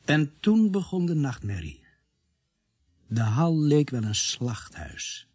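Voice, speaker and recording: A sombre police sergeant narrating a radio drama. He speaks slowly and gravely in a husky voice, recorded very dry and close. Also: medium pitch, darkish tone, dry recording, full character voice. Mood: grave, haunted. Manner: grave, deliberate storytelling. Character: sombre male police sergeant recounting a grisly night. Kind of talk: scripted radio play narration.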